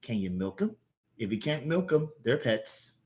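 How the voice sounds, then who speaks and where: A man's voice: medium pitch, very animated, moderate pace, natural voice. A man voicing an eccentric old country grandmother in a comic story, homely, doting and tough. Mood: sweet, unbudging.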